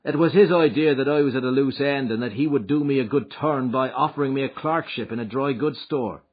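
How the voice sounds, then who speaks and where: A man voicing a bold, hearty young gangster in an audiobook, cocky and quick to answer back. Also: mid-range voice, highs cut off, voice pushed up, natural voice. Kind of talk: audiobook character acting.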